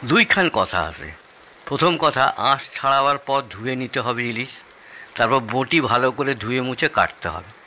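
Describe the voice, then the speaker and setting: A man's voice: mid-range voice, animated, moderate pace, bright, forceful tone, a little hiss or hum. A man acting a boastful, food-loving middle-aged father-in-law with an East Bengali accent in an audio drama, theatrical and savouring. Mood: assured.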